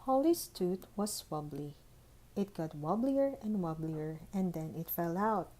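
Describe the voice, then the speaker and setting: Female storybook narrator for young children: slightly low pitch, very mellow, soft-edged tone. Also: animated, a little slow. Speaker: school librarian reading aloud. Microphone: phone recording.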